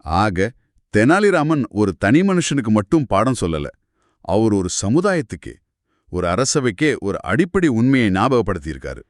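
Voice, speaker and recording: A man's voice: lowish voice, very animated, unhurried, no echo, clean studio sound. A man co-hosting a scripted conversation, inquisitive and reflective as he questions and sums up. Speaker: podcast co-host asking questions and summing up.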